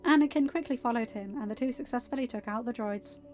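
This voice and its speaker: A woman reading a fan fiction story aloud as an amateur narrator, in an expressive scripted style. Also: fairly high pitch, fast. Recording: dull, band-limited audio with background music. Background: quiet music.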